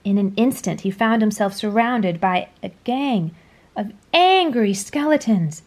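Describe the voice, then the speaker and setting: A woman reading a children's story aloud in a dramatic, deliberate storytelling manner. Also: mid-range voice, expressive. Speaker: storybook reader. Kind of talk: scripted children's story read-aloud, expressive.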